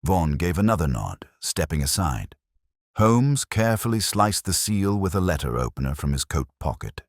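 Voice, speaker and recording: A man narrating a Victorian detective story in a measured, dramatic storytelling manner. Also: deep voice, sing-song, no echo, clean studio sound. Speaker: audiobook narrator.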